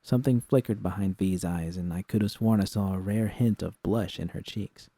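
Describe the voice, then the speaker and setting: A man reads first-person fiction in a fairly low, very mellow voice. It is an audiobook-style narration, recorded close and dry. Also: normal speed, creaky, husky, no echo.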